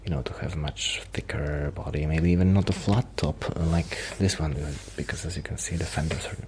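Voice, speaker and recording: Male, low-voiced, soft ASMR delivery bordering on a whisper; shy, halting roleplay ramble, slightly noisy recording. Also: steady tone. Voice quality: soft, breathy, often near a whisper. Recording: noticeable background noise.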